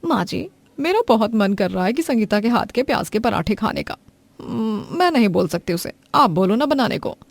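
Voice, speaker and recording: A woman's voice: fairly high pitch, lively intonation, moderate pace, creaky, no room sound. Sly, bossy elder sister-in-law, played by a woman, dry sound. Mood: wheedling.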